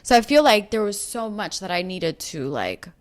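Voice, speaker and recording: A woman's voice: medium pitch, big pitch swings, moderate pace. Earnest young woman. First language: Spanish. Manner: earnest, self-deprecating, stumbles over words. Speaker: podcast co-host. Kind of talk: casual chat.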